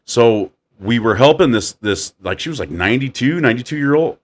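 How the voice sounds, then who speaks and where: A man with a deep voice hosting a casual chat, animated as he tells stories and acts out quotes. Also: a little fast. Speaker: podcast host.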